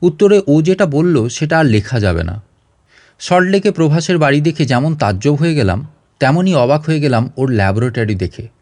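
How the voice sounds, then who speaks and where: A man's voice: on the high side, very animated, moderate pace, a little mellow, no echo, clean studio sound. A man reading a story aloud as a dramatic scripted audiobook narration.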